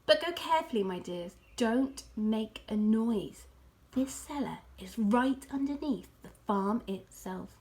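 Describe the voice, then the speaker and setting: A woman's voice: on the high side, lively intonation, unhurried, darkish tone. A woman voicing a confident, fatherly male fox leader in a children's storybook, giving firm instructions. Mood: cautioning. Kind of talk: children's storybook read aloud.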